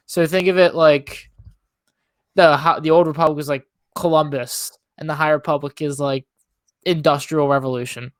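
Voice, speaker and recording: A man's voice: fairly high pitch, clean tone, no echo. A young man co-hosting a podcast in casual chat, explaining things in an informal, rambling way. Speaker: podcast co-host. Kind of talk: casual pop-culture chat.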